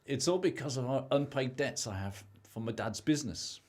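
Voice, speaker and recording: A young man plays a selfish British husband who believes he is rich, in an amateur radio play. He is excitable and impatient, with a very lively, varied pitch. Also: moderate pace, close to an everyday voice. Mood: offhand.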